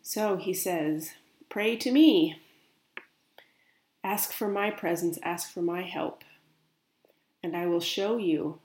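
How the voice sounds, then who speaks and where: A woman speaking as a spiritual medium, gently and unhurriedly relaying another's words in a guided meditation. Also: fairly low pitch, echoey room.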